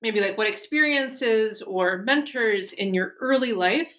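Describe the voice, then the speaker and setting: A woman hosting a podcast interview, asking measured, polite questions. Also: fairly high pitch, a bit bright. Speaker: podcast host.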